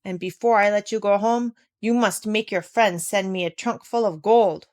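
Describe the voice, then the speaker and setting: A woman's voice: medium pitch, fairly even intonation, normal speed, a little mellow, natural voice. Ben Ali, a woman doing a gloating pirate captain. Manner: gloating, blustering. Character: boastful male pirate captain, a bully.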